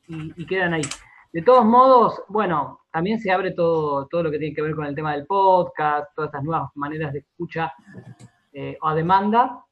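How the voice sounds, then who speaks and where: An Argentine man being interviewed on radio, explaining in a halting, conversational way and often repeating his words. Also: fairly high pitch, muffled recording. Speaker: interview guest, radio drama director and writer.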